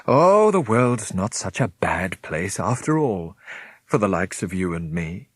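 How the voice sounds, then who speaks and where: A man reading aloud, voicing a genial, scheming middle-aged man who talks in a smooth, friendly, confiding way. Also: low-pitched, big pitch swings, a little slow, no room sound, exaggerated voice.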